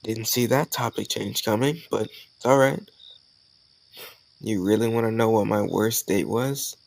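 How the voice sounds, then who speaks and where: Male actor as cocky college charmer, young, low creaky voice, casual teasing delivery, romantic audio roleplay. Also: unhurried. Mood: amused, reluctant. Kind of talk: scripted romantic audio roleplay addressed to the listener.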